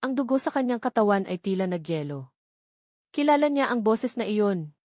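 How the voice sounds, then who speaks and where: A woman's voice: medium pitch, lively intonation, moderate pace, a little mellow, no echo. Dry-sounding woman narrating romance. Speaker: audiobook narrator. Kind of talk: dramatic scripted romance narration.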